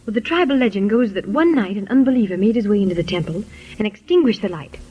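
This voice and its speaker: A young woman schemer in a 1930s radio adventure, all demure politeness and feigned innocence. Her mid-pitched voice is slightly husky. The old recording is muffled and lo-fi. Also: lively intonation, fast. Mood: hushed storytelling. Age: young adult. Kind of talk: 1930s radio serial acting.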